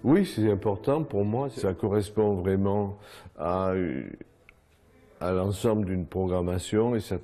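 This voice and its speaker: A man's voice: fairly low pitch, a little slow, warm tone. A man, a theatre director answering interview questions plainly, with hesitant starts.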